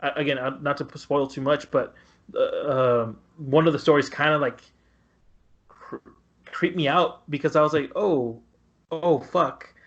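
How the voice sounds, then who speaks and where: A man chatting casually as a podcast panelist, haltingly repeating words as he searches for the next one. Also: on the high side, highs cut off. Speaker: podcast panelist reviewing films. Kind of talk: casual chat.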